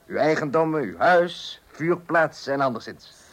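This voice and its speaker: An actor playing a smooth-talking, patronising insurance salesman in a comic radio play, coaxing and schoolmasterly. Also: a little high, lively intonation, a little slow. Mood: smug, flattering. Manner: coaxing, schoolmasterly, leading with questions.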